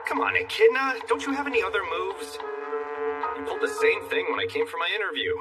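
A cocky human hero in an anime dub. He talks fast in a fairly high, lively voice, brash and persuasive, over background music. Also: distant mic. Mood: exasperated. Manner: casual, brash, explaining. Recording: dramatic music behind the voice.

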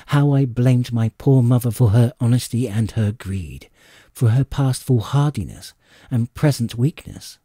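Dry close recording, guy reading an adventure audiobook, really lively pitch, mellow. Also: slightly rough, dry recording. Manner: expressive, measured storytelling. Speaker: audiobook narrator. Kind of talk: dramatic scripted storybook narration.

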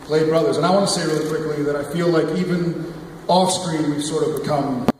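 A man's voice: fairly high pitch, fairly even intonation, fairly quick. Man in his thirties, echoey and noisy. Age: 30s. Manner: earnest, joking. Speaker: TV actor on stage. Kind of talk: casual stage remarks. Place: large theater stage. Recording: echoey hall from far off, noisy.

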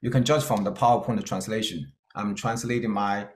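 A middle-aged man from China with a Chinese-Scottish accent, giving an earnest keynote talk with slides and pausing on fillers. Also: lowish voice, fairly even intonation, echoey room, a little hiss or hum. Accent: Chinese-Scottish English. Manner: earnest, plain-spoken, with hesitant fillers. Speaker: keynote speaker.

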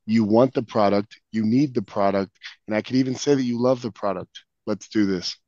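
A hoarse man on a video call reciting sales closing lines with a confident, scripted delivery. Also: fairly low pitch, moderate pace, warm tone, no echo, lo-fi sound. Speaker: sales trainee. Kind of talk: sales role-play.

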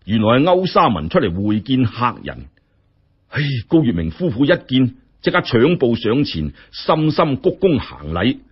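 A man telling a story in colloquial, expressive narration with wry asides. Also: medium pitch, moderate pace, slightly rough. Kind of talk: dramatic audiobook-style narration. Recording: dull, muffled lo-fi audio.

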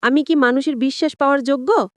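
A woman's voice: high-pitched, fast, dry recording, natural voice. A woman playing a sly, self-pitying husband in an audio story, persuading in colloquial rural Bengali speech. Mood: self-pitying excuses.

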